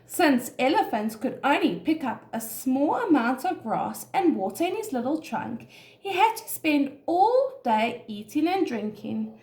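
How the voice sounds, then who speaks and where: A woman's voice: high voice, very animated, unhurried, darkish tone, reverberant. A woman reading a children's story aloud as a nursery teacher, expressively and directly to young listeners. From South Africa.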